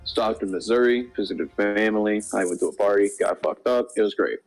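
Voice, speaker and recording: A man's voice: lowish voice, fairly quick, faint music behind. A young man in casual chat as a co-host, joking in a crude way.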